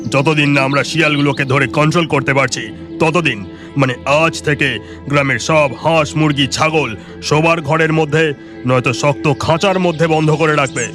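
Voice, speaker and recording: A man's voice: fairly high pitch, fairly even intonation, normal speed, music in the background, natural voice. Firm forest officer voiced by a man. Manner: firm, instructing. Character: authoritative male forest officer. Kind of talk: Bengali cartoon voice acting.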